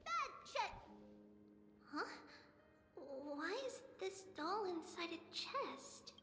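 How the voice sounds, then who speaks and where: A woman voicing a sweet, excitable little girl, a childlike holy maiden in a video game. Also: very high voice, extremely slow, heavily acted. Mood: wistful, puzzled. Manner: bubbly, childlike, hyper. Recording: echoey long reverb with music behind.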